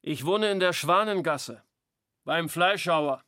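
A small, upright young schoolmaster with authority in a literary radio play. His voice sits fairly high for a man and is closely, dryly recorded. He speaks in a curt, reserved and disapproving way. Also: slow, pushed and bright, dry recording, clean studio sound.

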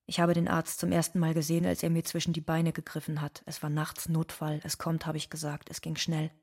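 A woman playing a stoic, bitter undocumented immigrant from Ecuador, speaking in deliberate, restrained radio drama monologue. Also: low voice, monotone, a little mellow. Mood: stoic, sad.

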